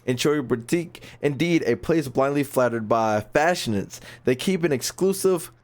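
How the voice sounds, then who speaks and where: A male gaming YouTuber talks over a playthrough in an average-pitched voice. He is casual and slangy, jokes around, and reads game dialogue out loud. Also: normal speed. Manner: slangy, jokey.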